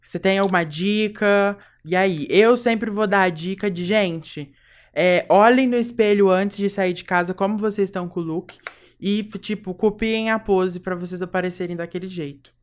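A woman's voice: mid-range voice, normal speed. A young woman with a Brazilian caipira accent, co-hosting a casual chat in a blunt, emphatic way and repeating words for stress. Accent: Brazilian caipira (São Paulo countryside).

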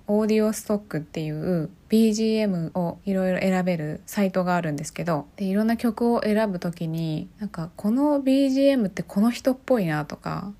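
A woman's voice: mid-range voice, mellow. A woman hosting a podcast on her own, chatting casually and often putting questions to her listeners. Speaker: podcast host. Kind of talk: casual solo podcast chat.

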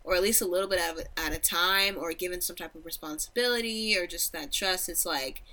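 A young woman of Nigerian background co-hosting a podcast, speaking casually and thoughtfully in a deep voice. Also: fairly quick. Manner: reflective, animated laughter. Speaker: podcast co-host. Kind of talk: casual chat.

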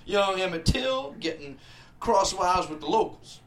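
A man plays a small-town Southern sheriff, sly and needling. The voice sits fairly high and swings around in folksy, insinuating turns, as in a screenplay drama read, with faint noise behind it. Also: animated, a bit bright, exaggerated voice. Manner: folksy, insinuating, drawn out with pauses.

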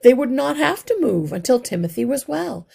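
A woman teacher narrating a children's novel aloud, slow, deliberate and expressive. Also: high-pitched, creaky, husky.

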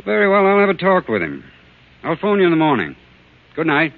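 An actor playing a stern, insistent family doctor in a 1940s radio drama, speaking firmly and deliberately. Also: male voice, fairly high pitch, wildly swooping pitch. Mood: grave, persuasive. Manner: firm, deliberate, commanding. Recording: tinny, narrow-band old radio recording.

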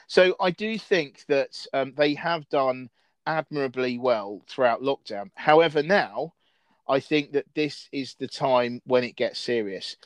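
A man's voice: mid-range voice, unhurried, dry recording. A man hosting a casual discussion, rambling with frequent restarts and fillers. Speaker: host.

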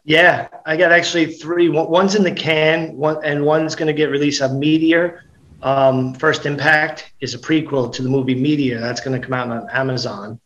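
A man's voice: fairly high pitch, steady tone, normal speed, fairly bright tone, lo-fi sound. A middle-aged man, a guest actor and comedian, chatting casually about his projects in an upbeat way, often restarting his sentences. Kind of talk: casual podcast chat.

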